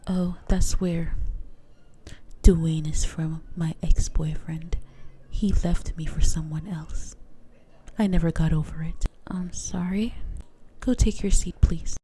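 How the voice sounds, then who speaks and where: A woman's voice: lowish voice, steady tone, takes it slowly, mellow, a little hiss or hum. A woman doing a nosy, oversharing teacher. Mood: chatty. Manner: chatty, then drones through a lesson. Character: brisk female schoolteacher, nosy and oversharing.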